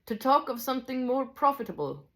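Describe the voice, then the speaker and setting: A woman voicing a wise old Scottish teacher, patient and deliberate, with an attempted Scottish accent and old-fashioned phrasing. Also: high-pitched, big pitch swings, pitched above the performer's own voice. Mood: gently firm.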